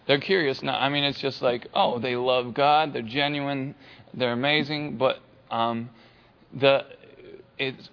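A man speaking on a church panel in a halting, searching and heartfelt way. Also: mid-range voice, dull audio. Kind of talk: panel discussion remarks.

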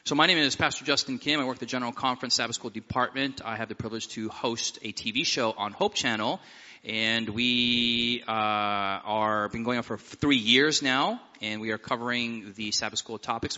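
A male pastor introduces his panel with easy humor and a casual, chatty delivery. His voice is very bright and sharp. It rings with a long hall reverb and sounds dull and lo-fi. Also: medium pitch, a little fast. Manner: relaxed, joking, conversational. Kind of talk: opening prayer then panel introductions. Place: seminar hall with live audience.

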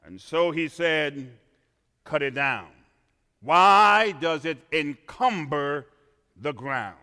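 A man from Trinidad preaching a sermon in a church, swinging from quiet asides to shouted emphasis. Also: on the high side, animated, takes it slowly, clean tone. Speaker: pastor. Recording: reverberant church hall.

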